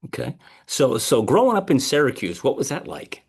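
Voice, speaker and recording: A man's voice: mid-range voice, big pitch swings, moderate pace, vocal fry, breathy edge. Man hosting an informal interview podcast. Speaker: podcast host. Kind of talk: conversational interview introduction.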